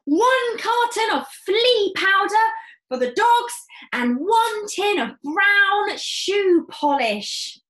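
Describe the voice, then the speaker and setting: A woman voicing an excitable, bossy farmer father who shouts eagerly. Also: extremely high pitch, very slow, roomy sound, pitched above the performer's own voice, exaggerated voice. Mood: excited.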